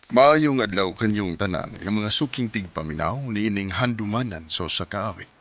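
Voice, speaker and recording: A man's voice: fairly low pitch, expressive, moderate pace, a bit bright, soft background music. A man playing a polite young letter sender narrating his story on radio drama, with a Cebuano Filipino accent. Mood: polite, earnest.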